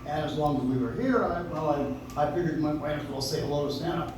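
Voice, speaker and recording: A man playing a mild, friendly gentleman in an amateur live radio play, hesitant and conciliatory. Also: fairly high pitch, steady tone, quick pace, natural voice. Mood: friendly, explaining. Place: coffee house stage, recorded from the audience. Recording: distant audience recording, very echoey, noisy.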